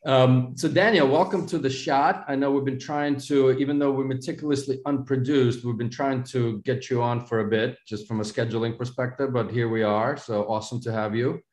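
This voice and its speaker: A man hosting a video-call podcast, his mid-pitched voice carrying a roomy echo. He talks in a loose, joking way, keeping things chatty and unproduced. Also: fairly even intonation, fairly quick, hardly any pauses, a little mellow. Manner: jokey, chatty. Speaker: podcast host. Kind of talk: casual interview chat.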